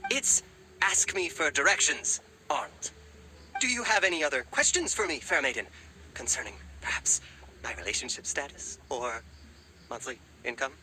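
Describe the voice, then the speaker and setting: Game raven: very bright, sharp voice, wildly swooping pitch, pompous, stammering, lovestruck. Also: male voice, expressive, normal speed, slight background noise. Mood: fawning. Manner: stammering, fawning, old-fashioned courtly speech. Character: flustered, lovestruck, pompous talking male raven guide. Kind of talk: animated game character acting.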